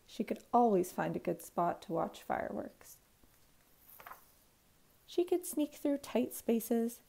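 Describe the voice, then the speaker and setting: Higher-pitched woman reading a storybook. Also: dark tone. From Canada. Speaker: primary school teacher reading aloud. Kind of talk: children's storybook read-aloud.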